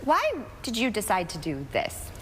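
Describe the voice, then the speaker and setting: A woman hosts a TV interview with an animated, swooping delivery. She is effusive and encouraging toward her guest. Her voice rings in a hall, with some faint noise around it. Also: mid-range voice, moderate pace. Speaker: TV show host interviewing a guest. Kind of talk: upbeat morning TV interview.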